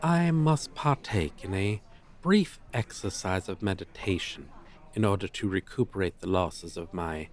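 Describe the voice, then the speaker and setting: A man's voice: low voice, expressive, measured pace. A man playing a pompous, flirtatious dragon teacher in an ASMR roleplay, speaking in florid, formal, condescending phrases. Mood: lofty, enamored. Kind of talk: ASMR roleplay, slow theatrical delivery.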